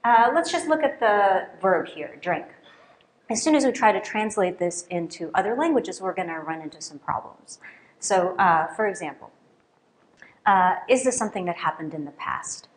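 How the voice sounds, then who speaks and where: A middle-aged woman, a native Russian speaker, giving a conference keynote at a lectern in a lecture hall, lively and often checking in with "right?". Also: medium pitch, normal speed. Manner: lively, questioning, with frequent "right?" and fillers. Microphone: lectern microphone.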